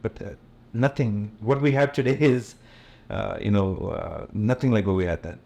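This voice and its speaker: Middle-aged US promoter, Gujarati native, low voice, easygoing bilingual interview talk. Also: male voice, normal speed, creaky. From USA, born in India. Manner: relaxed, mixes English with Hindi, frequent fillers and light laughs. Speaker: event promoter, interview guest. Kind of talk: casual interview conversation.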